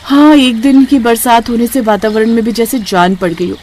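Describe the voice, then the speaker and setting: A woman's voice: fairly high pitch, fairly even intonation, moderate pace, darkish tone, slight background noise, lightly acted. Woman as an eager, exclaiming young village wife. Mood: admiring. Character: eager, practical young village daughter-in-law, wide-eyed. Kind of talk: cartoon moral story dialogue.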